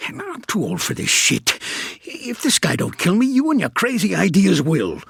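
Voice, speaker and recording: An actor playing a gruff, streetwise older mobster in a video game, with an American accent, a raspy voice and a blunt, slangy, commanding way of talking. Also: male voice, high-pitched, huge pitch swings, normal speed, fairly bright tone, no echo, heavily acted. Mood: irritated, alarmed. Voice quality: raspy, gravelly. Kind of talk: video game character acting.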